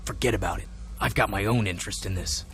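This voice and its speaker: A curt young hero in a game. His voice sits a little low and stays dry and terse. Faint music is behind. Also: fast talker, a bit bright.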